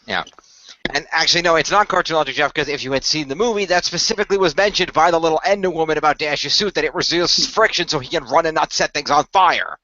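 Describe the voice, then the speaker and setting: A fast-talking man hosts a casual chat about a radio play. His voice is bright and a little high, and he explains things at length. The audio is a slightly muffled, dry voice call. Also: no echo. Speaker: co-creator and host of an improvised radio play. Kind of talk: casual post-game chat. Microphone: online voice call.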